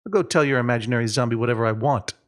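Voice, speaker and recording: A man's voice: mid-range voice, very fast, lightly acted. Neighborhood boy bully for a storybook, male reader. Cocky, scornful, taunting sneer.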